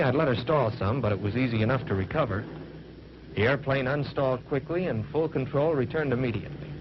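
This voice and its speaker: A man's voice: mid-range voice, close to an everyday voice. A man playing a young American fighter pilot, narrating a 1940s military training film in a plain-spoken, folksy first-person way. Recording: dull, very noisy 1940s film soundtrack.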